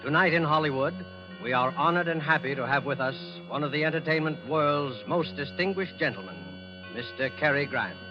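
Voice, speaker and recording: A male announcer in classic 1940s radio style, formal and ominous. His fairly high voice delivers measured scripted lines. The recording is dull, with clear music behind. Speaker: announcer and host of suspense radio series.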